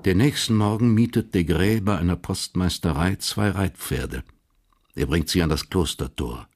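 An actor as the detached narrator of a historical crime radio drama, measured and factual. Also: male voice, deep voice, darkish tone.

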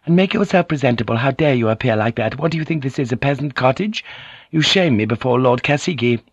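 Guy doing a soft apologetic japanese lady for an audiobook, pitched up, sorry and flattering, kinda muffled. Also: fast talker, breathy edge, no echo. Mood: scolding. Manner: soft, apologetic, deferential, tearful. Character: penitent, cunning Japanese noblewoman, flattering and meek. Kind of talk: audiobook character acting.

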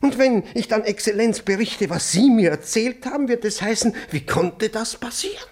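An older department chief in a radio comedy, pompous and easily alarmed. His pitch swoops widely as he halts, repeats himself and fusses over proper form. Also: expressive, normal speed. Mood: indignant, alarmed. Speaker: actor in a radio play. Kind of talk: German radio comedy acting.

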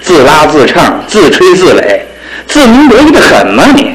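A man's voice: on the high side, wildly swooping pitch, normal speed, a crowd in the background. An actor playing a bookish young bachelor in a radio drama, grumbling at his roommates with dry, mocking scolding. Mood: wry, mocking. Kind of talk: Mandarin radio drama acting. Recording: roomy old broadcast with background babble.

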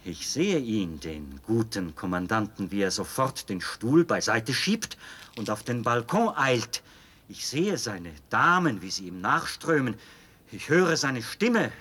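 An actor playing a fervent, fanatically devoted officer in a German radio drama, speaking with insistent, theatrical persuasion and a husky voice. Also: male voice, on the low side, very animated, unhurried, bright, forceful tone, full character voice. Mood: sardonic, conspiratorial.

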